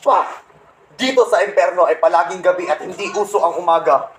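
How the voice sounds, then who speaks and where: A man's voice: high voice, brisk, lightly acted. A young man playing a boastful, resentful Satan, the fallen angel, in a comedic radio play, pompous and scornful. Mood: scornful, irritated. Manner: pompous, scornful, punctuated with cackling laughs. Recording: roomy echo, faint background noise.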